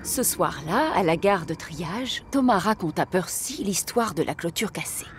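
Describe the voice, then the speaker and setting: Lady narrator telling a kids story, lively up and down voice, music playing behind. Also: lowish voice, a little slow. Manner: expressive storytelling. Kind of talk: scripted children's story narration.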